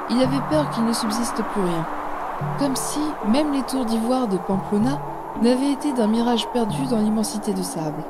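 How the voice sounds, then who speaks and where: In a reverberant space with music behind, a woman acts a resolute mother in an audio drama. Her voice sits a little high. She is stern and protective, speaking with deliberate firmness. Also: natural voice. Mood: grave. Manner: deliberate, firm. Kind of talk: French audio drama acting.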